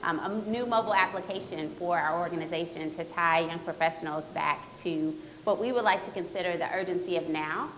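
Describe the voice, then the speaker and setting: A woman with a lowish voice speaks earnestly and steadily on a panel. Faint noise sits behind her. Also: a little fast, few pauses, a bit bright, low-quality recording. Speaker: panelist, organization president. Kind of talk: panel self-introduction.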